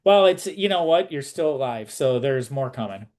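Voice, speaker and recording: A man interviewing someone casually, with a halting, informal and roundabout way of putting things. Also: medium pitch, lively intonation, darkish tone.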